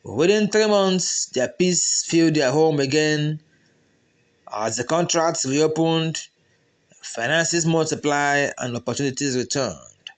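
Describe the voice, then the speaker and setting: A man's voice: a little high, slow pace. A man with a Nigerian accent narrating a folktale in a dramatic storytelling style.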